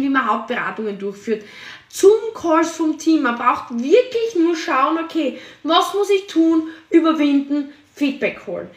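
An energetic woman gives a motivational talk in a high, shrill voice and hammers her points home with repetition. She sits a little away from the microphone. The room around her is echoey. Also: distant mic. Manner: energetic and emphatic, piles up repeated words. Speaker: podcast host and sales team leader.

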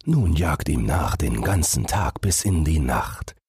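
A man voicing a kindly, commanding fairy-tale king in a gentle, measured way. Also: rumbling low, animated, breathy edge, dry recording, studio quality, full character voice. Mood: kindly, stately.

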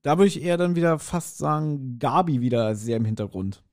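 A man's voice: medium pitch, lively intonation, fairly quick, darkish tone. A man chatting casually as a podcast co-host reviewing an audio drama, colloquial in his wording.

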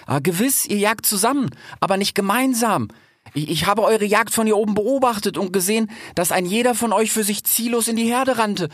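A nervous, clever grey fox, played by a man, pitched high and stammering over his words. He is courteous and pleading, an animal hero from a storybook. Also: fairly quick, dry recording, studio quality. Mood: nervous, persuasive. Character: timid, clever, polite young grey fox, male.

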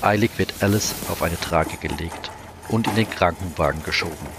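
A man's voice: deep voice, normal speed, heavy background noise. A man reading scripted dramatic narration for a German audio drama. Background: music.

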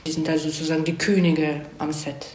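A woman's voice: deep voice, level tone, fairly quick, a little hiss or hum. Woman explaining stuff in an interview, casual, lots of little "right?" tags, echoey room. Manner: conversational, frequent "ne?" tags seeking agreement. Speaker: screenwriter being interviewed.

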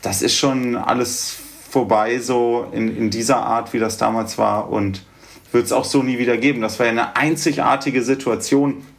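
A man from Göttingen with plain standard German, a guitarist guest chatting relaxed in a podcast interview. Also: medium pitch, moderate pace, gravelly. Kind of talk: casual interview chat.

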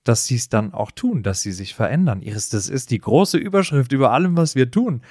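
A man's voice: medium pitch, animated, brisk, a little mellow, breathy edge, no room sound, clean studio sound. A man hosting a podcast, giving casual, direct advice in a coaching manner. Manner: coaching, direct, often laughing.

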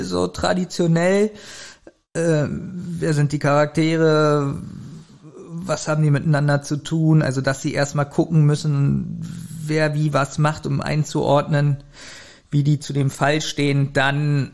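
A man's voice: a little high, vocal fry. A man, speaking casually as a podcast co-host giving a review.

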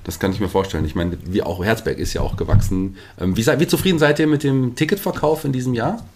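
A man's voice: fairly low pitch, fast talker, breathy edge. A man hosting an interview, chatting and asking questions in a friendly way. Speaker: podcast host. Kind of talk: casual interview chat.

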